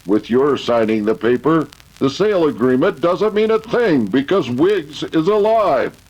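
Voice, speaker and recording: A man playing a calm, commanding cowboy hero in an old-time radio western. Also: fairly high pitch, a little slow, poor audio. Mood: assured.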